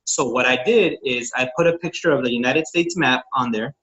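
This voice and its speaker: A man's voice: mid-range voice, brisk, fairly bright tone, rough recording. A man, a network marketing consultant from Texas, casually teaching on a group call, eager and informal, with many fillers and restarts.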